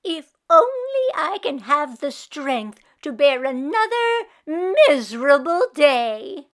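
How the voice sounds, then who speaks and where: A storybook old village mother, played by a woman reader, who wails and kvetches with great self-pity. Her high voice swoops up and down dramatically, and she drags out her grievances slowly. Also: big pitch swings, heavily acted. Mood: grumbling. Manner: wailing, exaggerated complaining with Yiddish exclamations. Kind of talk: storybook character voice.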